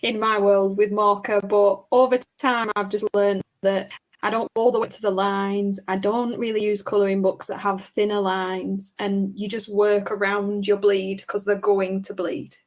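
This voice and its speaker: A woman's voice: medium pitch, little pitch movement, moderate pace. A woman co-hosting a live coloring stream, chatting in a friendly, easygoing way. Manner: friendly, easygoing, reads viewer names aloud. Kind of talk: casual live-stream chat.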